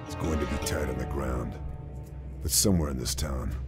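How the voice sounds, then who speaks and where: Lone military sniper, extremely low register, grave and controlled video game narration over scored music. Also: male voice. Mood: grim, focused. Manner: measured, grave, restrained. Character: grim lone male army sniper, terse and calculating. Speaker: protagonist narrator. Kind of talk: scripted mission briefing narration. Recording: music behind the voice.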